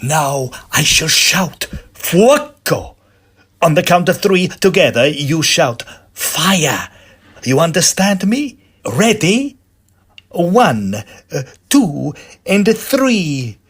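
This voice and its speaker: A man acting an excitable, hot-headed Italian opera singer with an Italian accent in a farcical period audio drama. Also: a little high, very slow, husky, full character voice. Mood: excited, commanding.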